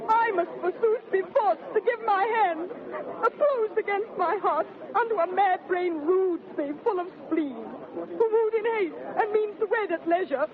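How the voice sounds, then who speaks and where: An actress playing a proud, sharp-tongued, indignant reluctant bride, declaiming in 1930s radio Shakespeare style. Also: female voice, extremely high pitch, unhurried. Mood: bitter, indignant. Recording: thin, narrow-band 1930s radio, crowd behind.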